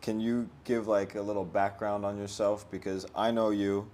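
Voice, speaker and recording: Male podcast host, very low voice, easygoing, faint room hiss. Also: normal speed. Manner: relaxed, prompts guest with short replies. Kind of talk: casual podcast chat.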